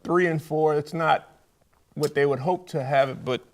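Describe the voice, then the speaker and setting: A man's voice: on the high side, normal speed. A man with an American accent hosting a sports interview show, putting conversational questions to his guests. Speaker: host of a college football coaches' show.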